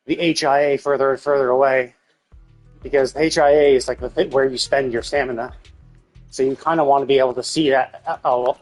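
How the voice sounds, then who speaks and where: A man livestreaming a video game with casual, sweary, exasperated commentary in a husky voice. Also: medium pitch, poor audio.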